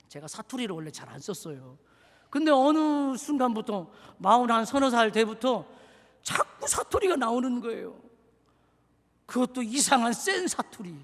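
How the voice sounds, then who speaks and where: A man preaching in a strikingly high, bright, forceful voice. He leaps from fervent shouts to quiet, confiding asides. The sound rings with the long reverb of a church sanctuary. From Seoul. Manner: dramatic preaching, swinging between shouted exhortation and hushed asides. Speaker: pastor. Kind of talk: sermon.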